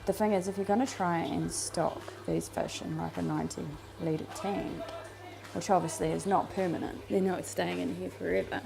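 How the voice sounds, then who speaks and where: A woman's voice: lowish voice, fairly even intonation, normal speed, creaky, over music. A woman with a New Zealand accent, chatting casually as she films her home fish room, rambling with plenty of ums and restarts. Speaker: fishkeeping vlogger. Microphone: handheld camera.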